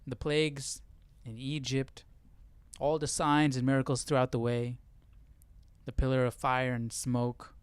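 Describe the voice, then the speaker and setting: A man's voice: mid-range voice, level tone, unhurried, smooth, dry recording. A man hosting a livestream, talking through scripture informally and checking in with rhetorical questions. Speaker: host of a Bible study livestream. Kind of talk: casual conversational Bible commentary to viewers.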